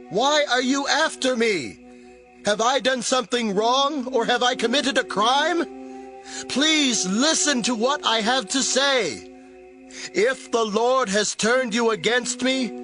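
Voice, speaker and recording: An actor playing a bold, devout young warrior in an audio drama, with drawn-out, declamatory delivery. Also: male voice, very high voice, pushed and bright, heavily acted.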